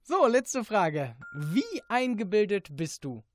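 A woman hosting a casual radio interview with quick-fire questions, giggling readily. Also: medium pitch, sing-song, darkish tone, a little hiss or hum. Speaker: radio host.

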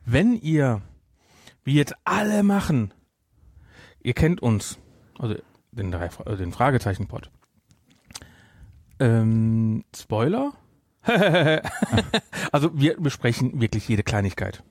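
A middle-aged man chats as a podcast host. His voice is dark and husky, recorded very dry. He gives his views casually, repeating points for emphasis. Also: mid-range voice, very animated, slow pace, pauses often, dry recording.

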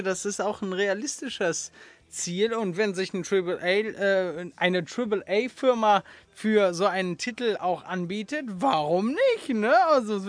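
A man's voice: high-pitched, no echo. Informal male podcast host, dry close sound. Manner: informal, slangy and encouraging. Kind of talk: casual interview chat with quick-fire questions.

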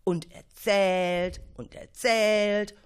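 A woman's voice: mid-range voice, fairly even intonation, very slow, smooth. A woman narrating a scripted children's radio play as the storyteller.

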